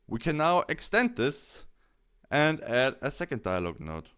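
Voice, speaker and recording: A man presenting a software tutorial in a casual way, explaining things step by step. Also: medium pitch, wildly swooping pitch, a little slow, smooth.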